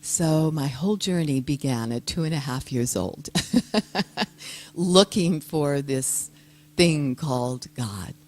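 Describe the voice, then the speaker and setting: An older woman, a guest speaker giving a soft-spoken, gentle and reflective personal talk to an audience. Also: deep voice, lively intonation, slow pace.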